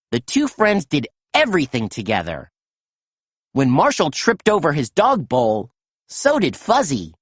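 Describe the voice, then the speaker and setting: A woman narrating a children's story as an animated read-aloud storyteller. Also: low voice, husky, dry recording, studio quality.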